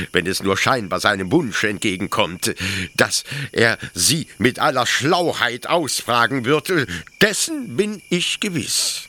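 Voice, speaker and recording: Man acting a fanatical officer, slow and wildly swooping. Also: fairly low pitch, sharp tone, heavily acted. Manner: impassioned, halting, insistent, mimicking others in mockery. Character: fanatical, pleading male officer devoted to an execution machine. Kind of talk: theatrical German radio play acting.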